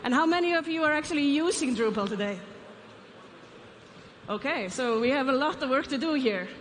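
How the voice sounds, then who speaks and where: A woman's voice: high-pitched, expressive, a little fast, a little hiss or hum. A woman from Iceland with an Icelandic accent, giving a conference keynote and asking the audience questions. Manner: asks the audience questions, light asides. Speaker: keynote speaker, CEO. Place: large conference stage. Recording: reverberant hall, faint ambience.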